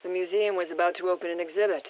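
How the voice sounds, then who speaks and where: A woman soldier heard over a muffled radio link in a shooter game. She delivers field intelligence in a matter-of-fact military way. Her voice sits at a medium pitch. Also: steady tone, racing. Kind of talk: scripted video game intel report. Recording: muffled, band-limited radio transmission.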